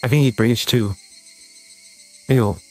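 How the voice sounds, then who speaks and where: A woman using a voice-changer filter that lowers her voice into a man's, reacting with amusement. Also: a little slow, dark tone, soft background music. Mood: amused. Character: grown man.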